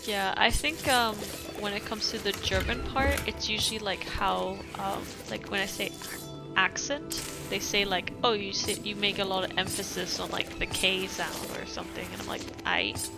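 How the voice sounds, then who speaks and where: A woman's voice: mid-range voice, normal speed, creaky. A young woman with a mixed European accent, chatting casually and reading letters aloud while streaming a game, laughing often. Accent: mixed European English. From British, raised elsewhere in Europe. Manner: chatty, giggly, many fillers. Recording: music playing behind.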